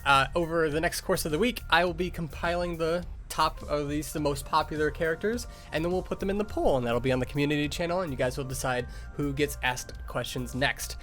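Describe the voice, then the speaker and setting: A man's voice: a little high, fairly quick, few pauses, a bit bright, faint music behind, natural voice. A man voicing a sarcastic, grumpy, teasing cat who hosts a casual in-character fan Q&A with blunt asides. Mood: casual hosting. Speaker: Q&A host.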